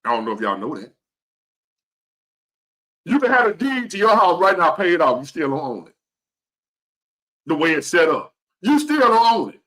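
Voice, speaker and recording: High-voiced, animated man in his fifties. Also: normal speed, pauses often, low-quality recording. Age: 50s. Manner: earnest, preachy, repeats key phrases, swings between loud and hushed. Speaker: motivational vlogger. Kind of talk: motivational talk to viewers.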